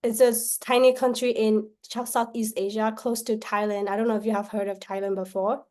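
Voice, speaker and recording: A woman from Myanmar, a salesperson pitching her service on a call, often tagging sentences with questions and restarting them. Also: level tone, brisk, darkish tone. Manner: pitch broken up by frequent tag questions and restarts.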